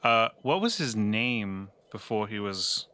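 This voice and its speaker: A man's voice: lowish voice, animated, slow pace, pushed and bright. A man talking casually in and out of character as a player in a tabletop game.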